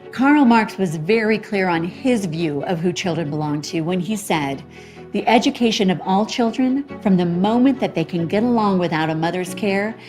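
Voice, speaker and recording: A woman narrating a documentary in an earnest, measured way. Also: fairly low pitch.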